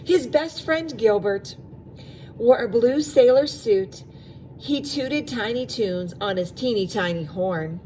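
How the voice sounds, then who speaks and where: A woman with a slightly high voice reads a children's storybook at a slow, measured pace. She performs the narration expressively, drawing out sound words and speaking aside to the young listeners. There is faint background noise. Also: expressive, warm tone, poor audio. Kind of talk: expressive children's read-aloud.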